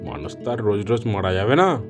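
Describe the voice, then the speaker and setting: A man's voice: fairly low pitch, expressive, fast talker, over music, lightly acted. A man voicing a greedy, murderous male biryani seller in a cartoon, gloating and cackling. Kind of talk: Bengali cartoon dubbing.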